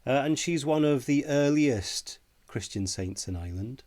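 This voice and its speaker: A man with a cold and a snuffly voice, giving a measured evening lecture with many ums and uhs. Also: medium pitch, expressive, a little mellow. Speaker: lecturer on Celtic myth.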